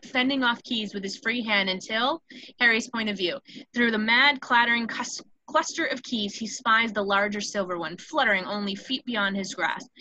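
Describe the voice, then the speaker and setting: A woman's voice: mid-range voice, pushed and bright, dry recording, rough recording. A woman reading stage directions as the narrator of a scripted screenplay table read.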